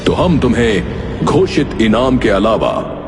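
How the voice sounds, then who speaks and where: A man's voice: fairly low pitch, sing-song, measured pace, long reverb tail, a little hiss or hum. A man voicing a king who speaks in a formal, royal way. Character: anxious old-fashioned male king.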